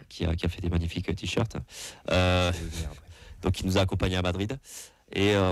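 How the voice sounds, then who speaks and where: Hesitant low-voiced southwest French man. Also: no echo. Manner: hesitant, many fillers and restarts. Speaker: supporters' association representative. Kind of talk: casual radio talk.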